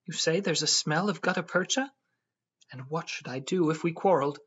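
Flighty, pouting young wife with a high husky tone, read by a man on a dull lo-fi track. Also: normal speed, fairly bright tone. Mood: indignant. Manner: raised, whiny, petulant delivery. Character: petulant pretty young wife, flighty and fretful.

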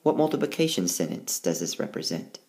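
A male teacher reading a rhyming picture book aloud and walking through the math, recorded as a tablet screencast voice-over. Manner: slow, sing-song rhyming read-aloud with lively pitch.

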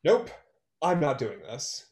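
A man's voice: mid-range voice, sing-song, slow pace, a little mellow. Liam, bitter songwriter acted by a man, echoey. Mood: guarded. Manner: terse, sarcastic. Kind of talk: online one-act play table read. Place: small room at home, video call. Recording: roomy, echoey video-call sound.

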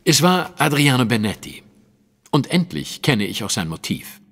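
An actor playing a shrewd, self-assured detective who explains things precisely in a German audio drama. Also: male voice, mid-range voice, sing-song, moderate pace, fairly bright tone, long reverb tail. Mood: insistent, reasoning. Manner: precise, lecturing, deductive.

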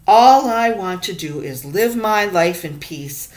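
A woman's voice: mid-range voice, lively intonation, takes it slowly. A woman doing a grumpy old shopkeeper. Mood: angry. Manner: loud, scolding.

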